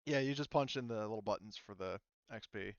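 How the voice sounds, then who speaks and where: A man's voice: lowish voice, fairly quick, no room sound, highs cut off. A man working as game master, casually explaining tabletop game rules in an informal way.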